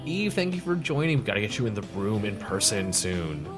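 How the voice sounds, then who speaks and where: Podcast host just chatting, super animated pitch, rambly n jokey, music under it. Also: brisk. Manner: rambling, self-correcting, jokey. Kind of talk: casual chat.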